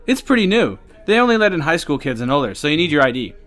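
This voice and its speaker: A man playing a friendly, upbeat, chatty high school boy in an audiobook drama. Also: fast, soft background music. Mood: friendly, explaining. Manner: upbeat, expressive. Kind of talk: audiobook character acting.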